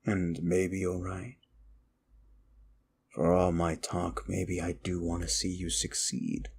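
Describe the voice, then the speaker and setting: An ancient, wicked demon, acted by a man, speaks straight to the listener in an intimate ASMR roleplay. The voice is very low and full of gravelly fry. Each phrase comes slowly and deliberately, brooding and theatrical, with contempt and a trace of inner conflict. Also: flat delivery, a little mellow, slight background noise, heavily acted. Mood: brooding, softening. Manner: theatrical, brooding, slow and deliberate with dramatic pauses. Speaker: audio roleplay performer.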